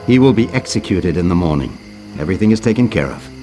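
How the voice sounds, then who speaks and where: Smug scheming game villain, low voice w lots of swing, faint music. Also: male voice, expressive, fast talker, warm tone. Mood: cold, assured. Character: cold, scheming villain commanding a female spy. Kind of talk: dramatic video game cutscene acting.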